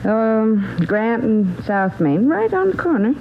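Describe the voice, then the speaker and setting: A woman's voice: on the high side, sing-song, slow, creaky, exaggerated voice. Curt landlady on muffled old radio. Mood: curt, unhelpful. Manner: curt, defensive. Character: curt, prim rooming-house landlady, indignant and unhelpful. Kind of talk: 1940s radio drama acting. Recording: muffled 1940s radio recording, faint hiss.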